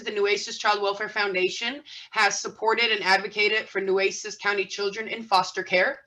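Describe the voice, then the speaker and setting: Bright-voiced woman giving a presentation. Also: medium pitch, poor audio. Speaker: nonprofit board president. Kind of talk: spoken presentation at an online event. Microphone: video call.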